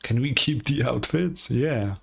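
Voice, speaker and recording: A man's voice: mid-range voice, unhurried, gravelly, breathy edge. A man giving laid-back, hesitant commentary as he streams a video game playthrough. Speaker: gaming streamer. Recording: faint game music behind.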